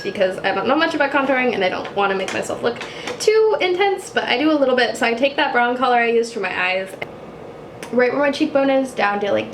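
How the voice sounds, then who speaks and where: Chatty young woman with a low, husky voice. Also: roomy sound, slight background noise. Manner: chatty and self-mocking, swears casually. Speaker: YouTuber doing a makeup tutorial. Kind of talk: casual sit-down chat while doing makeup. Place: apartment room.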